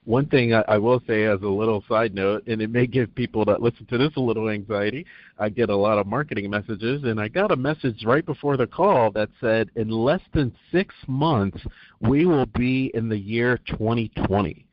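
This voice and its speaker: A male interview host asks long, earnest questions that ramble. His voice sits fairly low. The audio is muffled and dry. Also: moderate pace, no room sound.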